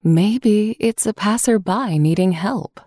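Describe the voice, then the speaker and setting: A woman playing a kind, calm, soothing mother in a children's storybook drama. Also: medium pitch, slow pace, no room sound, studio quality. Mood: welcoming. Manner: gentle, soothing.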